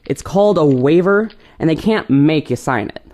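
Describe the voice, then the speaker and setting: A man plays an easygoing boy of about fourteen in an audio play. The voice is on the high side, with a casual, slightly cocky and flippant way of talking. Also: animated, normal speed, a little mellow, close to an everyday voice. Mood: breezy, chatty.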